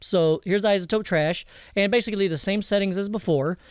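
A man giving a casual software tutorial, explaining informally and directly to viewers. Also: high-pitched, brisk, smooth, dry recording, studio quality. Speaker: audio mixing instructor. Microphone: close voice-over mic over screen recording.

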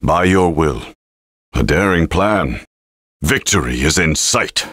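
A man voicing a proud old male general and veteran mentor in a video game, gruff and commanding. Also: very deep voice, expressive, takes it slowly, fairly bright tone, no echo, studio quality, exaggerated voice. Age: older. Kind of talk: game voice clips.

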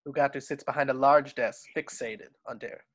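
A man's voice: medium pitch, fairly even intonation, brisk. A man reading screenplay stage directions aloud as the narrator of a home table read. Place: at home on a video call.

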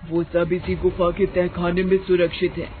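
A woman's voice: fairly low pitch, monotone, normal speed, phone-quality sound, soft background music, lightly acted. A woman voicing a ghostly burnt witch in a cartoon horror story, menacing yet protective and sorrowful. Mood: eerie reassurance.

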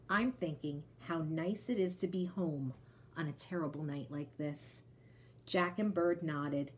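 Expressive woman reading a children's story. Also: on the low side, a little hiss or hum. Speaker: storybook reader. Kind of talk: expressive children's read-aloud.

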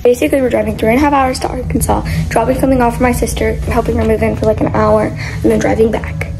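High-voiced chatty young vlogger girl. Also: flat delivery, fast, creaky, music in the background, poor audio. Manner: chatty, upbeat, filler-heavy. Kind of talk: casual day-in-my-life vlog. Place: inside a car. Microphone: phone held at arm's length.

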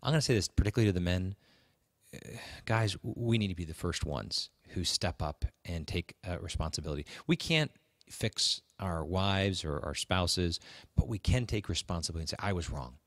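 Man, deep pitch, halting, conversational advice, dry recording. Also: expressive, dry recording. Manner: halting, often restarts and repeats phrases. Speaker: podcast co-host. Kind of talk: conversational talk on marriage advice.

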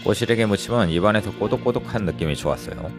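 A man's voice: fairly low pitch, quick pace. A man hosting a food review vlog with scripted voice-over narration. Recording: loud music behind the voice. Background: music.

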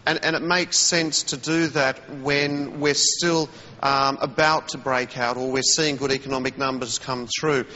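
Bright-voiced man on a roomy studio link. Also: mid-range voice, steady tone, muffled recording. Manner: measured, with frequent murmured acknowledgments. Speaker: commodities analyst guest. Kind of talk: live TV news interview. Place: remote TV studio.

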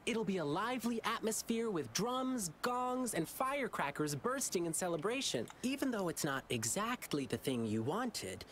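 A woman's voice: mid-range voice, big pitch swings, moderate pace, few pauses, a little hiss or hum, close to an everyday voice. A woman playing an eager, earnest young lion dancer boy in a video game, cheerfully chanting blessings. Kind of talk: English video game voice acting.

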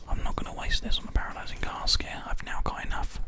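A gaming host speaks entirely in a soft, breathy whisper, very close to a binaural microphone. The delivery is ASMR-style play-by-play of a video game, with keyboard and mouse clicks behind it. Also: quick pace. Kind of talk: ASMR whispered gameplay commentary.

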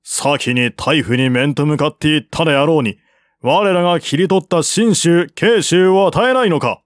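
A man's voice: a little high, moderate pace, no echo, clean studio sound, lightly acted. A man voicing a proud, stern general who speaks bluntly and formally in a historical audiobook. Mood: indignant, defiant. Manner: blunt, formal, martial speech. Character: proud, defiant male ancient general, stern and demanding. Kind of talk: audiobook reading of historical novel dialogue.

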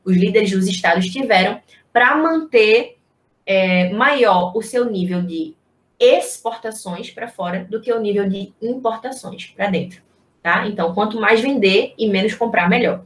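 A woman's voice: medium pitch, unhurried. A young Brazilian woman giving an informal, explanatory online history lecture, posing rhetorical questions as she teaches over a video call. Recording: echoey room on video-call microphone.

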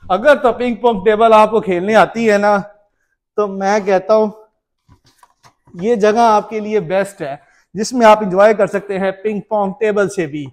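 A man in his thirties giving a casual vlog tour in an animated, exclamatory showman's manner. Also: sits high, unhurried. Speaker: travel vlogger. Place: outdoors on a wooded road, then inside a cottage.

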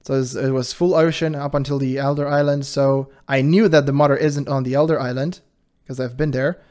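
A man with a high-pitched voice hosting his channel, giving casual commentary in an animated, defensive way and laughing often. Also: brisk. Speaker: channel host reacting to a video.

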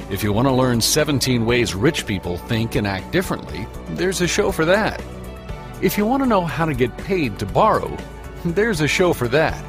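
An American man reading a scripted promotional spot as an announcer. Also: mid-range voice. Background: music.

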